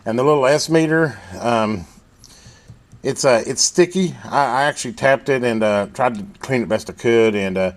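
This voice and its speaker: A man talking casually through a hands-on repair as a technician, folksy and thinking aloud at his own unhurried rate. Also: medium pitch. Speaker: radio repair technician and video host. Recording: loud electrical hum and background noise.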